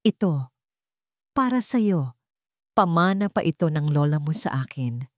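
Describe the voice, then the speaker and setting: A woman voicing a frail, loving, wise mother in a story, soft-spoken and earnest. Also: low voice, expressive, unhurried, no room sound, clean studio sound. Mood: tender. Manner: gentle, earnest advice-giving.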